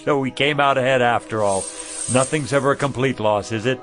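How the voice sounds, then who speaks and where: An actor playing an anxious, wistful married shopkeeper in a radio drama, earnest and emotional in delivery. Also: male voice, medium pitch, a little fast, a bit bright, lightly acted. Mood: relieved, wry. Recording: music behind the voice.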